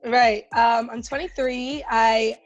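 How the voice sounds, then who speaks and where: Giggly young woman, brighter higher voice. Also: fairly even intonation, slow, no room sound, dull audio. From Haiti. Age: 20s. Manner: laughing, joking, loose with fillers. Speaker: podcast co-host. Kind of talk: casual group podcast chat.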